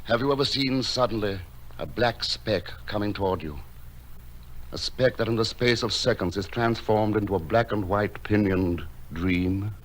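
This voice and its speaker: An actor playing a gentle, misshapen recluse painter, telling his story in formal, poetic, earnest language. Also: on the low side, lightly acted. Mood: intense, reverent. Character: solitary, misshapen painter living in a lighthouse, gentle and wistful. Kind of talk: dramatic narration in an audio drama. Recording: music behind the voice.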